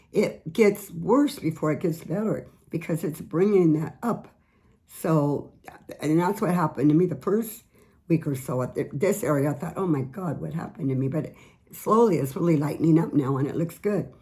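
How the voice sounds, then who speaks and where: A middle-aged woman, a little raspy today, chatting earnestly about skin care products she sells. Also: fairly low pitch, dark tone. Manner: chatty, earnest, repeats words. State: slightly raspy voice. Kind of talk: casual product tips vlog.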